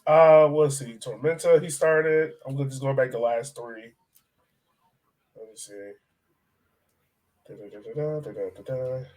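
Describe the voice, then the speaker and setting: A man's voice: medium pitch, steady tone, a little slow, long pauses, darkish tone. A man hosting a casual soccer podcast, talking hesitantly as he thinks aloud. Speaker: sports podcast host.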